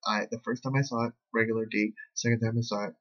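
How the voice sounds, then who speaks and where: Husky middle-aged man chatting casually. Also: lowish voice, steady tone, fast, a bit bright. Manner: repeats phrases for emphasis. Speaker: vlogger reviewing a film. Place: small bedroom, indoors. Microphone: webcam at arm's length. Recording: dull, lo-fi webcam sound.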